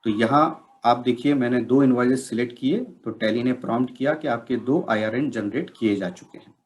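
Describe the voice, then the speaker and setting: A man narrating an instructional software demonstration, explaining step by step and mixing in English technical terms. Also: mid-range voice, normal speed.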